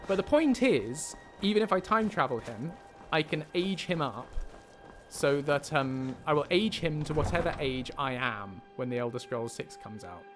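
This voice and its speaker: A lively male streamer with a slightly high voice talks casually, wandering through his thoughts out loud. His pitch moves around a lot, and game music plays clearly behind him. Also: moderate pace. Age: younger than 50. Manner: rambling, thinking aloud. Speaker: livestreamer, mod creator and voice actor. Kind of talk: casual livestream chat while playing a game. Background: video game music and character dialogue.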